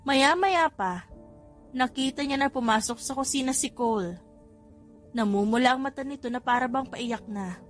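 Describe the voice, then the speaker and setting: A woman's voice: high-pitched, normal speed, pauses often, faint music behind. A woman reading scripted fiction as an audiobook narrator.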